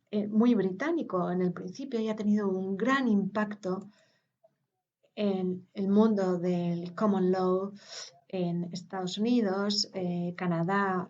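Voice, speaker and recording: A woman, interpreting a conference talk live, hesitant with pauses and filler sounds. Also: medium pitch, mellow, lo-fi sound.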